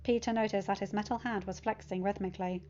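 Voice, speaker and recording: A woman's voice: medium pitch, fairly even intonation, quick pace, darkish tone. A woman reading scripted fiction aloud as a story narrator. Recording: slightly dull, lo-fi sound.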